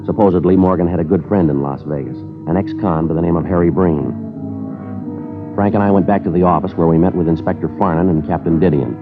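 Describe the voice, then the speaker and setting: A hard-boiled police sergeant, played by a man, gives his case report in clipped, matter-of-fact sentences, his voice very deep and his pace brisk. He sounds like an old radio crime drama, tinny and narrow, with music under it. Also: fairly even intonation, lightly acted. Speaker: detective narrator.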